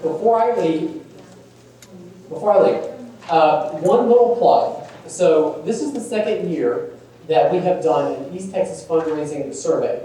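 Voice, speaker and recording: Male presenter, casual, mellow tone, distant mic, very echoey hall. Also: a little high, slight background noise. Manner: casual, conversational, many fillers. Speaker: conference presenter. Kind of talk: presenting a workshop session. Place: large echoey conference room.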